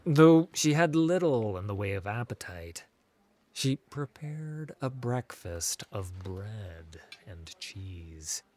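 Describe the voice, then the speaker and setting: A man reads a fantasy audiobook as its narrator. His voice is fairly low and his pace is slow, giving the scripted prose a measured, dramatic delivery. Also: expressive, a little mellow.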